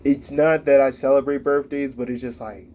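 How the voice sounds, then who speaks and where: A man's voice: mid-range voice, steady tone, normal speed, a little mellow, a little hiss or hum. A man in his late twenties giving casual spiritual advice in a rambling monologue, full of "like" fillers. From United States. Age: late 20s.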